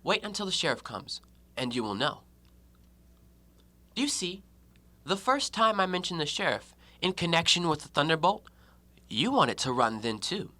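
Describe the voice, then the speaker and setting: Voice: medium pitch, sing-song, moderate pace, pauses often, sharp tone, a little hiss or hum. An actor in an audio play voicing a proud, shrewd, scornful educated man with formal, theatrical delivery. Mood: accusing, probing. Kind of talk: stage-style audio play acting.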